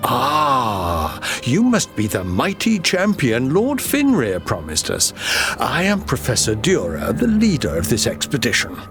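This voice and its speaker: Scholarly expedition leader, formal and lecturing, lively intonation, music behind. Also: male voice, medium pitch, unhurried. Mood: explaining. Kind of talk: video game voice acting. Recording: game cutscene with music.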